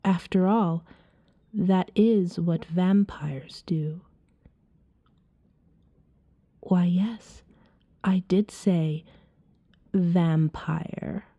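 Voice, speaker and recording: A woman playing a calm, seductive vampire in an ASMR roleplay, soothing and hypnotic, often slipping into a whisper. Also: on the low side, extremely slow, lo-fi sound, full character voice. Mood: teasing, coy.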